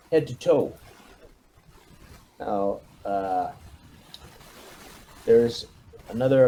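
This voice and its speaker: A man's voice: medium pitch, mellow, slight background noise, low-quality recording. A man leading a squad in a tabletop roleplaying game, briefing it with military jargon and command phrasing over an online call.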